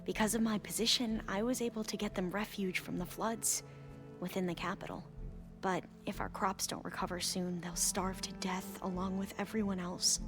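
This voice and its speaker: A woman's voice: medium pitch, steady tone, normal speed, lightly acted. A woman playing an earnest, dutiful young scholar in a video game, with a slightly hesitant way of talking. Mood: somber, confiding. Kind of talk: video game cutscene acting. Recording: background music under the voice.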